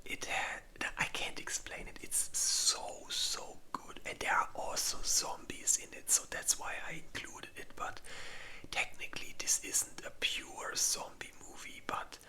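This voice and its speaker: An ASMR host whispers in a breathy, unvoiced voice with a bright, crisp edge. The delivery is very slow and informal, with halting pauses, like casual rambling through a list.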